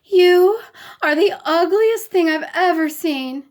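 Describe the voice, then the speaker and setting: A woman voicing a kindly, eccentric young storybook king with emphatic, drawn-out regal speech. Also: extremely high pitch, pitched above the performer's own voice. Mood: tender. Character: kindly, backward-talking young storybook king, grandly decreeing.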